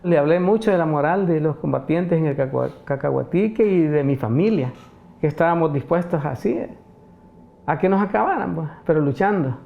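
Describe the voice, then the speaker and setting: A man's voice: a little high, normal speed, vocal fry, dull audio. A man in his fifties with a Salvadoran accent, sharing personal memories in an interview. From rural El Salvador. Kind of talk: personal recollection.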